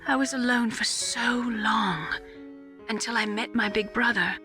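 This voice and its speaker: A woman playing a lonely, secretive geneticist in a video game, speaking in a hesitant, confessional way that drops to a hush. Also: fairly high pitch, steady tone, unhurried. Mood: sorrowful, bitter. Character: wounded, secretive adult female geneticist, lonely and bitter. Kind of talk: dramatic video game voice acting. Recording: music behind the dialogue.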